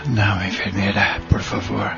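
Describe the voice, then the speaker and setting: An actor playing a frail, kindly elderly patient with a gravelly voice in an audio soap opera. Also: male voice, fairly low pitch, completely flat delivery, normal speed. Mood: reassuring. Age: older. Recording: lo-fi audio with music behind.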